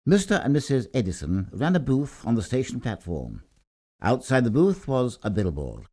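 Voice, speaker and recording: A man's voice: mid-range voice, sing-song, moderate pace, warm tone. A man reading a scripted short story aloud in a theatrical, emphatic storytelling manner. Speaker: story reader.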